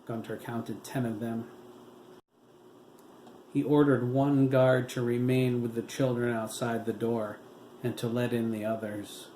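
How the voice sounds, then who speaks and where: A man reads a novel aloud in an even, unhurried manner. His voice is middle-pitched and echoes in a roomy space. A constant hiss runs under the recording. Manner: slow, steady, even reading. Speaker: narrator reading a novel. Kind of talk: audiobook narration. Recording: roomy room with steady hiss.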